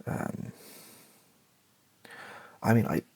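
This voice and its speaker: A British man improvising an ASMR writing session, thinking aloud in a rambling, halting, self-deprecating way. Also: mellow. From Britain. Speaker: YouTuber, ASMR video creator. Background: computer keyboard typing and clicks.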